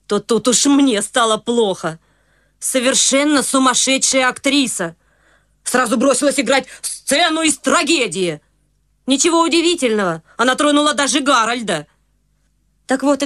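A fretful, high-pitched society mother in a radio play, chattering anxiously in a fussy rush. The sound is close and dry, with no room around it. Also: normal speed, a bit bright, no room sound. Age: middle-aged. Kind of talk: studio radio drama acting.

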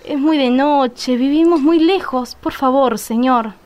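Actress as a high-voiced, bossy Argentine young woman. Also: measured pace, darkish tone, a little hiss or hum. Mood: pleading. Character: bold, bossy young woman scheming with her friend. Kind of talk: radio drama acting.

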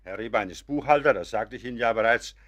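An actor playing a genial professor in a German radio play, courtly and effusive, smoothing things over. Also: male voice, medium pitch, fast, a bit bright, natural voice. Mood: jovial. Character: genial, diplomatic professor showing a newcomer round.

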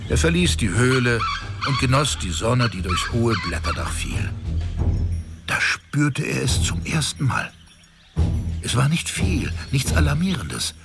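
A man delivers scripted fantasy narration in a voice of medium pitch. Loud music runs underneath, as in a produced radio play. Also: big pitch swings, a little slow, vocal fry.

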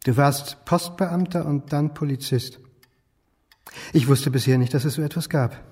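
A man's voice: a little high, normal speed, darkish tone, slightly rough. An actor playing a middle-aged man who questions an elder relative in a terse, accusing way in a German radio drama. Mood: probing. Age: middle-aged, about 40.

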